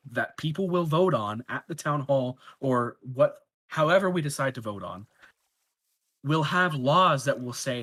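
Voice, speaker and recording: A man's voice: medium pitch, a bit bright. A man speaking as a candidate in a casual roleplay debate, making his points in long, rambling sentences full of filler words. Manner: rambling, filler-heavy argument.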